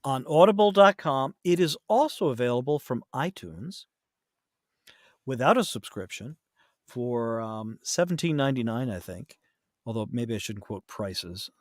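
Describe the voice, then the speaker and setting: A man's voice: mid-range voice, animated, moderate pace, dry recording, clean studio sound. A man chatting casually as a podcast guest, rambling and self-deprecating, often trailing off mid-thought. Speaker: podcast guest, audiobook narrator.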